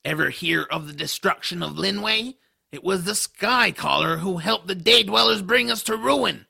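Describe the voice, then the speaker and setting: A man voicing a bitter, sarcastic male troll in an audio drama, with a harsh gravelly voice and a grumbling manner. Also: high voice, normal speed, bright, forceful tone, full character voice. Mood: bitter, mocking. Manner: sardonic, grumbling.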